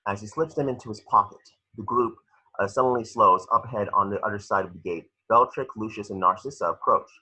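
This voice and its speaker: A man narrating stage directions for a script table read, in measured, dramatic short phrases. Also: on the low side, bright, forceful tone, breathy edge, poor audio.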